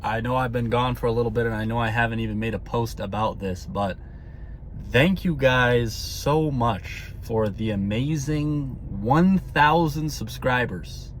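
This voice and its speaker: A man's voice: mid-range voice, a little slow, a little hiss or hum. A man talking to his viewers in a casual monologue, earnest and rambling, often tagging his sentences with "okay?" and repeating words. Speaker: spiritual vlogger.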